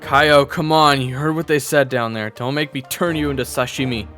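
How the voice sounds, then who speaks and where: A man's voice: medium pitch, steady tone, normal speed, bright, forceful tone, faint music behind. A man narrating a first-person audio roleplay, speaking to the listener as "you". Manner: storytelling, addresses the listener as "you". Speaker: narrator and main character. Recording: roomy echo.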